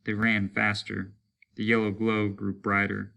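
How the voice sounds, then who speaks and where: A man's voice: on the low side, completely flat delivery, measured pace, gravelly, bright, forceful tone. A man reading a story aloud as a teacher would, in a dramatic narrative style.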